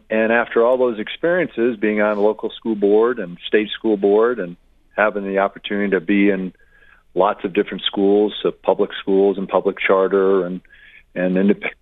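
A man in his fifties, originally from Connecticut, speaks as a guest author on a radio show. His voice sits low and dry, thinned out by a tinny phone line. He talks haltingly, restarting phrases and filling gaps with "uh.". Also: no room sound. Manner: hesitant, many restarts and fillers. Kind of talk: radio interview.

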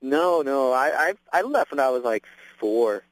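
A man's voice: medium pitch, expressive, a little slow, no room sound, low-quality recording. A young man in a casual interview, chatty and laughing often, with lots of fillers. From Canada. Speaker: actor guest.

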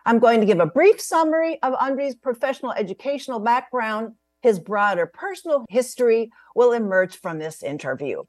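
A woman hosting an interview, reading her guest's credentials in a measured, careful way over a video call. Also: mid-range voice, dry recording, studio quality. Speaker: host. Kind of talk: formal interview introduction.

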